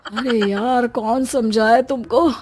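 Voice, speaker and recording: A woman's voice: a little high, fairly even intonation, slow pace, background noise. A woman voicing a fearful, complaining pregnant husband in a plaintive, dramatic way for a moral storybook tale. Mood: whining.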